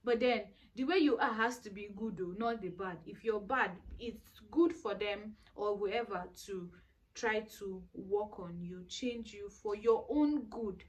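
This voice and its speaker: A woman's voice: medium pitch, measured pace. Earnest young woman vlogger giving advice. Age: 20s. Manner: earnest, preachy, repeats herself for emphasis. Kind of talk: casual advice monologue.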